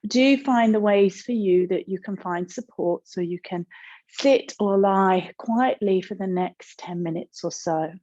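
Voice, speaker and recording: A woman meditation teacher speaking in a gentle, calm, unhurried way with pauses as she guides a practice. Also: medium pitch, muffled recording.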